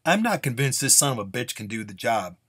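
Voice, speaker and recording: A man voicing a defiant, sharp-tongued nun in a Western story, blunt, curt and commanding. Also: mid-range voice, big pitch swings, a little fast, breathy edge, no echo, clean studio sound.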